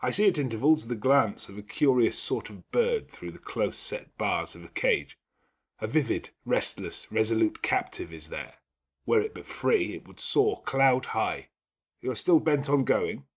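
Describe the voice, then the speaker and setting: A brooding, middle-aged gentleman played by a male narrator from a classic novel audiobook. His speech is grand, emphatic and sardonic, with a domineering, teasing edge and a slightly husky tone. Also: lowish voice, expressive, unhurried. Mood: intense, confiding.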